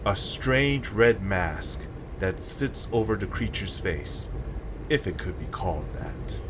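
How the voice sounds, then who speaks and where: A man's voice: deep voice, expressive, slow, noisy background. A man giving scripted, dramatic narration for a story.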